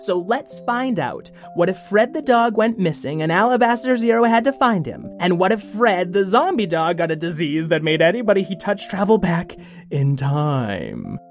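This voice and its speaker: A man hosting a children's story podcast in a lively, chatty style. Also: sits high, moderate pace, soft background music.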